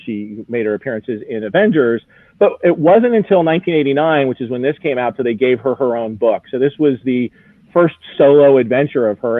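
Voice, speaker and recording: A man's voice: mid-range voice, dry recording. Man on a dry, phone-like line. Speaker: auction house executive as guest expert. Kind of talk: live auction preview commentary, conversational. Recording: narrow, phone-like radio-style sound.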